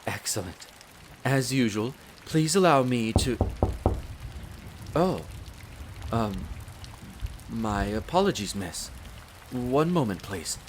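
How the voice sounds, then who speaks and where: A man's voice: mid-range voice, takes it slowly, pauses often. Man playing a formal wolf butler in rain. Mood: courteous, reassuring. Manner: polite, formal, deferential. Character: devoted, formal male wolf butler and head servant. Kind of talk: scripted roleplay audio drama. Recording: rain noise over the voice. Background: steady rain.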